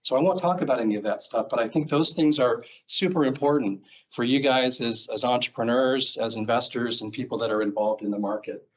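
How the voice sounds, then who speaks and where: A man's voice: mid-range voice, brisk, dull audio. A middle-aged man from Seattle with an American accent, giving a rambling conference talk full of fillers in a presentation room. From Seattle, Washington, US. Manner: rambling, filler-heavy, self-correcting. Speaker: guest speaker. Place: presentation room, standing before a screen.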